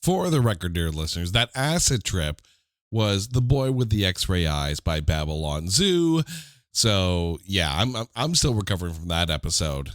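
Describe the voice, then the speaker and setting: A man's voice: sits low, big pitch swings, normal speed, dry recording, studio quality. A man with a stuffy, slightly nasal voice hosting a casual music podcast, joking with listeners in mock-dramatic asides. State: congested, under the weather. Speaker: podcast host.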